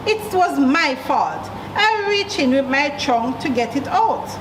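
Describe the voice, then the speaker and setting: A woman's voice: high voice, lively intonation, measured pace. A Jamaican-accented woman voicing a proud, threatening male pig moneylender in a children's story, demanding and emphatic. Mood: eager. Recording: roomy, distant mic, noisy background.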